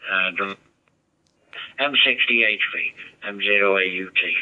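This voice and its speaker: A man's voice: low-pitched, measured pace, a little hiss or hum. A man chatting over a ham radio, rambling and giving advice. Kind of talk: casual radio chat. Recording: dull muffled radio audio.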